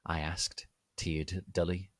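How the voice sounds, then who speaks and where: A man's voice: low-pitched, level tone, slow, no room sound. A man giving a theatrical, exaggerated dramatic reading of a fan fiction story. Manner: theatrical, exaggerated, reads typos literally. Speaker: reader.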